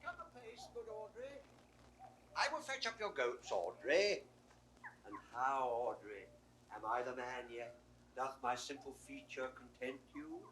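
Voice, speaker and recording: Male as bawdy Shakespearean clown: mock-pompous, wordy wit, highly animated delivery, classic audio drama. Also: a little slow, low-quality recording. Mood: wooing, showing off. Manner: theatrical, wordplaying, mock-pompous. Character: witty bawdy court jester courting a goatherd.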